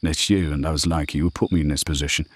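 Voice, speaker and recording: A cold, menacing loan shark. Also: male voice, rumbling low, fairly even intonation, fast talker, no echo. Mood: sarcastic. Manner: sarcastic, threatening, clipped. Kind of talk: audio drama acting.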